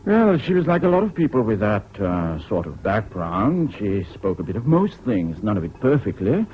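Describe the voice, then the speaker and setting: Discreet official, widely varied intonation. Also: male voice, lowish voice, very animated, moderate pace. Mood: regretful. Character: discreet, sombre English official bearing bad news. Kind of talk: 1970s British radio drama acting. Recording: dull, noisy old radio recording.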